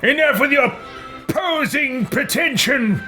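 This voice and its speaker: A man's voice: very high-pitched, expressive, slow, music in the background. A man doing playful let's play commentary, roleplaying his game character in a wry, theatrically impatient way. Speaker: gamer roleplaying through a video game.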